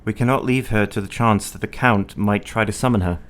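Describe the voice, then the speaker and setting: An actor playing a devoted, anguished young husband in a radio play, speaking tenderly and formally. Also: male voice, fairly low pitch, fairly quick, a bit bright, close to an everyday voice. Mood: concerned.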